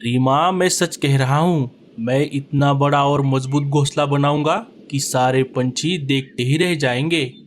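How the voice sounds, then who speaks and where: A man's voice: mid-range voice, unhurried, few pauses, a little hiss or hum, natural voice. A man voicing an easygoing, lazy male sparrow husband in a children's cartoon, grumbling and pleading. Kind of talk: cartoon voice acting.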